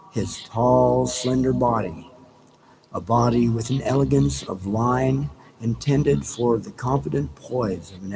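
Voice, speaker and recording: Slow-reading man over noisy background ambience. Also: medium pitch, vocal fry. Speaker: audiobook reader. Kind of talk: live dramatic reading of a novel. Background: background ambience sounds.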